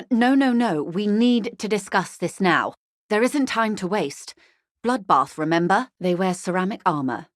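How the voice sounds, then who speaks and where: A woman voicing a composed, shrewd corporate negotiator in a video game, speaking in a measured, formal, articulate way with frank gravity. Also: lowish voice, no room sound, studio quality, natural voice. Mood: urgent, firm, then grave, matter-of-fact. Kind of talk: scripted video game character dialogue.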